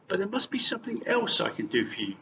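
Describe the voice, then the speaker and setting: A gentle young storybook princess, voiced by a man, trusting and polite. The voice is lifted in pitch and lilts freely, in a reverberant hall. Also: wildly swooping pitch, fast talker, vocal fry, far from the mic, a little hiss or hum, full character voice. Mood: cheerful.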